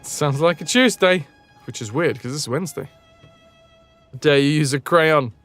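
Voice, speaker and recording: A man's voice: on the high side, a little slow, fairly bright tone. A man doing casual live video game commentary with a sardonic, dry sense of humour. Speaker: live streamer. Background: game music.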